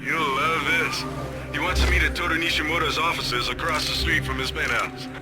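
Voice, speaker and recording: A man's voice: on the high side, fairly even intonation, moderate pace, close to an everyday voice. A man playing an informal, wisecracking tech-support sidekick in a video game. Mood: wry. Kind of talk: video game cutscene acting. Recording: loud game music behind the voice.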